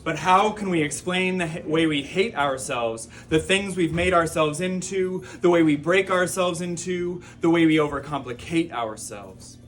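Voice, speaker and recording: A man performing spoken-word poetry, reciting in a rhythmic, emphatic way with drawn-out phrases and softened line endings. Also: fairly high pitch.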